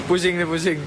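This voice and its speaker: A man chatting and joking casually while filming friends at a hotel gym and rooftop pool. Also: high-pitched. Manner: playful teasing, laughs a lot. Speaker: vlogger filming the crew. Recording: loud background noise.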